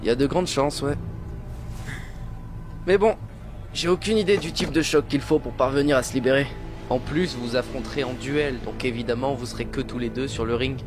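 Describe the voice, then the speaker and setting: Man as earnest anime schoolboy, mid-pitched, helpful and matter-of-fact, loud music bed. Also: fairly even intonation, normal speed, natural voice. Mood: explaining. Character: earnest, helpful teenage male student classmate. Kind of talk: anime fan dub acting.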